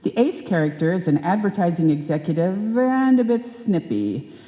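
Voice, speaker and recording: A woman's voice: on the low side, sing-song, normal speed, dark tone. A woman announcer reading a scripted introduction to a live radio show in a chatty, theatrical way. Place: indoor hall stage, live performance. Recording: echoey hall, live stage.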